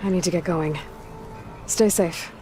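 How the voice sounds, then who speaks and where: Woman voicing solitary young warrior heroine, low pitch, guarded, earnest, terse, video game dialogue. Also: fairly even intonation, measured pace, mellow, faint music behind, close to an everyday voice. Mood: brisk, firm.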